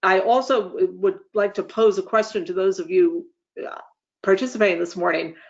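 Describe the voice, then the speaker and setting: A speaker hosting an online meeting, giving semi-formal updates in measured, formal wording with frequent hesitant pauses. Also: mid-range voice, steady tone, creaky. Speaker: association leader hosting a meeting. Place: online webinar call. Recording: dull, lo-fi online meeting audio.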